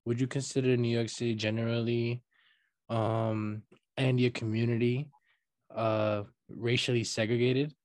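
A man interviewing over a video call for an oral history project, asking loose, halting questions with fillers. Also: medium pitch, little pitch movement, a little mellow, no room sound.

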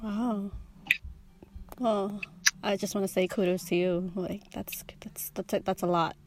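A woman's voice: medium pitch, fairly even intonation, a little slow, background noise. A woman conducting an oral history interview over a remote call, asking short plain questions. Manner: short plain questions, hesitant follow-ups. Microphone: remote video call.

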